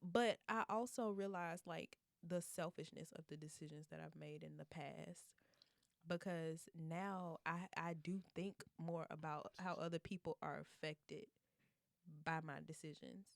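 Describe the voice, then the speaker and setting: A woman's voice: low voice, fairly even intonation, gravelly, dry recording. Chatty woman in her twenties. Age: 20s. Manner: chatty, storytelling, many fillers and quick laughs. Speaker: podcast co-host. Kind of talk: casual chat.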